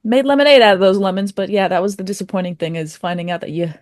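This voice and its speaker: A woman's voice: mid-range voice, fast, darkish tone, no room sound, clean studio sound. A woman chatting casually and informally as a guest answering questions on a podcast. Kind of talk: casual chat.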